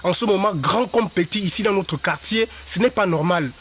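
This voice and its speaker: A local man speaks outdoors by a river, indignant and insistent. His voice sits high. A faint hiss runs under the recording. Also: normal speed, fairly bright tone. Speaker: local resident. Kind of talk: on-street interview.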